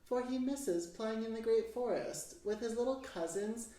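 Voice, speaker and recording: A woman reading a children's story aloud in an expressive, measured, theatrical way. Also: a little high. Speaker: storybook narrator. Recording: very echoey room, distant mic, music. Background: piano music.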